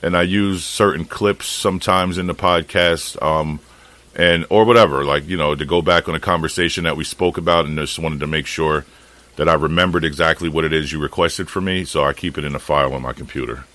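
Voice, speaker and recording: A man's voice: rumbling low, a little fast, fairly bright tone. An American man talking casually on a phone call, explaining things in an informal, rambling way. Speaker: fish shop owner and podcast host.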